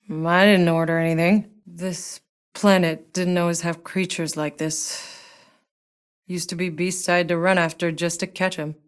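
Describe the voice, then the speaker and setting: Low-voiced, sardonic hardened soldier. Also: female voice. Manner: dry, blunt, clipped delivery. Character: hardened, sardonic female sci-fi soldier, blunt and world-weary. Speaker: video game player character. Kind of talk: naturalistic game character voice lines.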